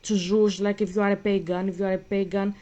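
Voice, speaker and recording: A young woman, chatting casually and rambling with many pauses and fillers while playing a game. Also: mid-range voice, level tone, dark tone. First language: Greek. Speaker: gaming vlogger. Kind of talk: casual gaming chat.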